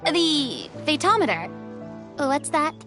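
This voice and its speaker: A gentle, sheltered young lady from a video game. She speaks slowly and hesitantly in a very high, lilting voice full of curiosity. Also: very animated, full character voice. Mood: curious. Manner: hesitant, wondering. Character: gentle, curious, sheltered young woman, wide-eyed newcomer. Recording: game music underneath.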